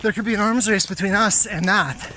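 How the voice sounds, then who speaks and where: A man thinking aloud in a rambling, halting monologue, pausing often as he ponders. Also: high voice. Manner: halting, pondering, throat-clearing. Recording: noisy outdoor recording with clicks.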